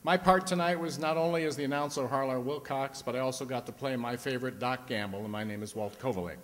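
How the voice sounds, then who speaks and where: A man's voice: medium pitch, fast talker, fairly bright tone. A man announcing on stage as the host of a live radio play. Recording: echoey hall, audience and background noise.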